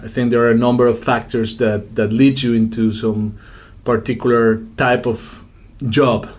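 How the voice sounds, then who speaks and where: A middle-aged man giving reflective interview answers, circling and repeating words as he feels his way. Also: medium pitch. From Colombia. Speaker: interview guest, executive director of an NGO.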